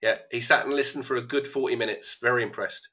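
Man talking through live trades, slightly hoarse. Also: medium pitch, steady tone, fast, pushed and bright, highs cut off. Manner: casual, thinking aloud. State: losing his voice. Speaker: trading educator. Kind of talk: live trading room commentary.

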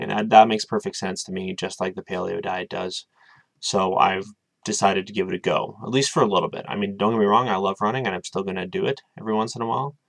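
Young male vlogger, twenties, hesitant and full of ums, very low voice, dry close sound. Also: gravelly, no room sound. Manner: rambling, hesitant, many ums. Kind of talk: casual video diary. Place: small room with bookshelves, indoors.

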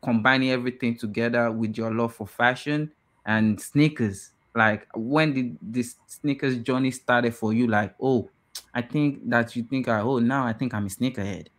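A young man hosting a podcast over a video call from a small room, asking questions in a relaxed, slangy way. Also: mid-range voice, moderate pace. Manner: relaxed, slangy, asks questions with easy encouragement. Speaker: podcast host. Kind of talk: casual interview. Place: indoors, small room at home.

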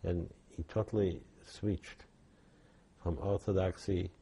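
Older man, very deep gravelly voice. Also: steady tone, warm tone. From Poland. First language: Yiddish. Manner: halting, many restarts and fillers. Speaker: interviewee. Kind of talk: oral history testimony.

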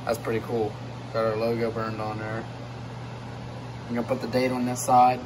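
A man's voice: medium pitch, little pitch movement, normal speed. A young man plainly explaining a woodworking project in a shed, recorded on a handheld phone. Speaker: DIY video co-host. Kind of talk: casual how-to narration. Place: cold wood shop shed. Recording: phone audio with heater hum.

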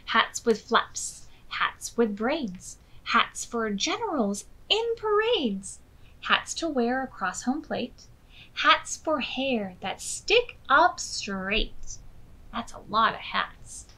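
An American woman in her thirties hosting storytime, reading aloud expressively and clearly to young children. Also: fairly high pitch, sing-song, slow, bright, forceful tone, poor audio. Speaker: children's storytime host at a public library. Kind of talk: read-aloud storytelling for preschoolers. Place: small furnished room indoors.

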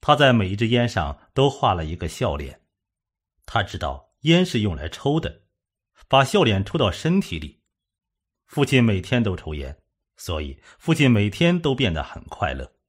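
A man narrates a suspense audiobook from a script. His voice sits fairly low and has a rough, husky grain. The intonation moves up and down in an animated way as he reads. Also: moderate pace.

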